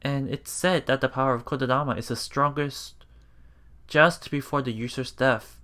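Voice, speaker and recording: A man's voice: medium pitch, steady tone, moderate pace, sharp tone. A man narrating a visual novel aloud for a let's play, reading expressively and earnestly. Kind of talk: dramatic reading of visual novel text with live reactions.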